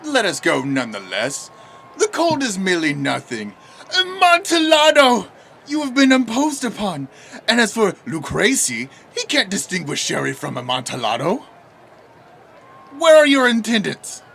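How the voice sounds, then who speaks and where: An actor in an audio drama playing a vain, excitable, tipsy nobleman who stammers and blusters through theatrical exclamations. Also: mid-range voice, moderate pace, creaky, a little hiss or hum, heavily acted. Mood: eager, dismissive.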